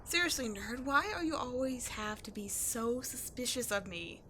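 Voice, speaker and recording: A woman playing a cocky, sarcastic tomboy adventurer in an audio roleplay, slangy and expressive in her exasperation. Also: a little high, normal speed, a little hiss or hum. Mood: defensive, cocky. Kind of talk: scripted ASMR-style audio roleplay, one-sided dialogue.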